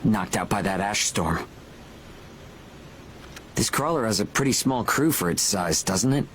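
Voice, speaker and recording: A man's voice: fairly low pitch, animated, measured pace, a little hiss or hum. An actor playing a cocky, pragmatic young male mercenary in a video game, talking in a casual, blunt, self-assured way. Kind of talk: video game cutscene acting. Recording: game music behind the voice.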